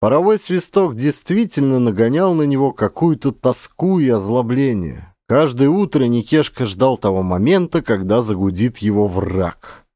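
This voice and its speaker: A man narrates literary fiction like a one-actor audio play. His mid-pitched voice moves widely up and down, leaning on key words and pausing for effect. The sound is dry, with no room around it. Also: no room sound. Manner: expressive storytelling with shifting emphasis and pauses. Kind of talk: dramatic scripted literary narration.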